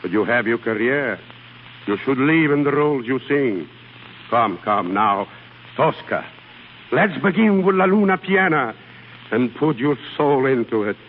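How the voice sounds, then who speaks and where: A man's voice: medium pitch, animated, measured pace, close to an everyday voice. A man playing a fussy, exasperated singing coach, pleading and sardonic, in 1930s radio acting style. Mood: urging. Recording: tinny, noisy 1930s radio recording.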